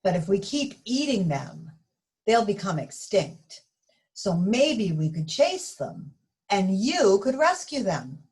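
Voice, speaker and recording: A woman voicing a big, gruff but loving father yeti in a storybook, speaking in a measured way. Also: medium pitch, big pitch swings, a little mellow, poor audio. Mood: reasonable, conceding.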